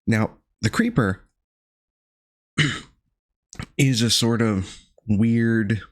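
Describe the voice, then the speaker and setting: A man with a gravelly voice still recovering from being sick, reviewing a horror film with dry sardonic asides and frequent pauses. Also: fairly low pitch, no room sound. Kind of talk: casual video review monologue.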